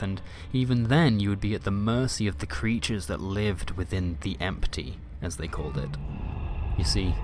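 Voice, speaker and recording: A man narrating a scripted fantasy story in a measured, dramatic way with wry asides. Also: low-pitched. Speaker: storyteller, narrator of a fiction podcast.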